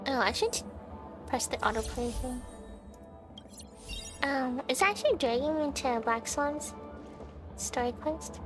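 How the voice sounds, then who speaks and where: A woman's voice: high voice, slow pace, pauses often. A woman livestreamer chatting casually to viewers as she plays a game, thinking aloud and often asking questions. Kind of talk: casual chat while playing a game for viewers. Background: video game music.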